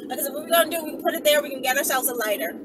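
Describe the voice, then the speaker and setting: A woman chatting casually in a rambling storytime to her followers, animated, slangy and profane, often tagging her sentences with little check-in questions. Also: high-pitched, rapid-fire. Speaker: vlogger telling stories to followers. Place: inside a car while driving. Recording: noisy moving car, distant mic.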